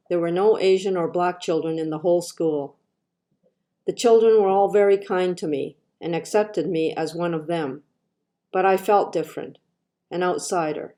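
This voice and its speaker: A woman's voice: lowish voice, dark tone. Older woman reading her personal essay. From Canada. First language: Japanese. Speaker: artist.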